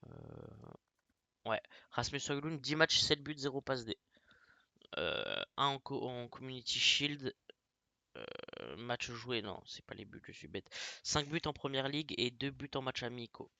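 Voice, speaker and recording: Bright-voiced French gamer with a cold. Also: male voice, medium pitch, wildly swooping pitch, a little slow, creaky, no room sound. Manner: chatty, many fillers, drops to mutters while navigating menus. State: has a cold. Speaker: gaming YouTuber. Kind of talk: casual gameplay commentary.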